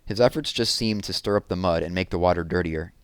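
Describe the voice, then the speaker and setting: A man's voice: low voice, fast, smooth, no echo. A man reading a novel aloud in a scripted storytelling narration. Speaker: read-aloud reader.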